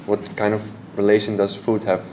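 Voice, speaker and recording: A man conducts a casual interview with a fellow student in English. His voice sits fairly low, and he speaks haltingly, searching for words and restarting questions. Faint background noise runs under the recording. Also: completely flat delivery, warm tone.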